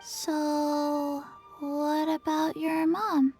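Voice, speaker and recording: A woman voicing a small, earnest undead girl in a video game, speaking softly in halting, simple phrases. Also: high voice, flat delivery. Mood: flat, earnest. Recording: game music behind the voice.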